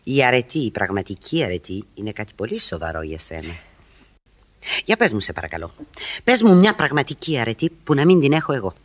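A woman's voice: a bass voice, sing-song, heavily acted. An actress playing a flirtatious, proud married society woman in Greek radio theatre, coy, teasing and theatrical.

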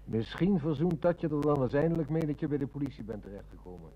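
An actor playing a shrewd, commanding police inspector in a Dutch radio play, with an authoritative, probing manner. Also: male voice, on the high side, expressive, quick pace, warm tone, highs cut off. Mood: probing, wry.

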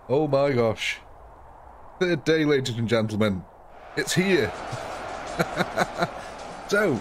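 A man's voice: mid-range voice, background noise. A man hosting a live game stream in casual commentary, cheerful and chatty, laughing often and sniffly with a cold. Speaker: livestream gaming host.

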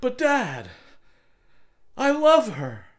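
A man's voice: very high voice, huge pitch swings, very slow, voice pushed up, exaggerated voice. A man voicing a lovesick storybook youngster pleading earnestly with a parent. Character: lovesick young male tree pleading with his father.

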